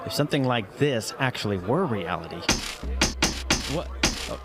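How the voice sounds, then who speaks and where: A male actor as an excitable, doubting friend in an audio drama. His medium-pitched voice rises and falls widely with hesitant interjections. Music plays clearly behind him. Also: moderate pace, lightly acted. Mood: skeptical, musing. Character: skeptical, excitable writer friend, worried for his pal.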